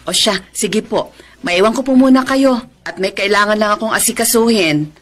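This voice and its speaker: An actress playing a kind, patient senior center worker in a Filipino radio drama, gently coaxing and polite. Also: female voice, mid-range voice, moderate pace, natural voice. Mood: patient, concerned.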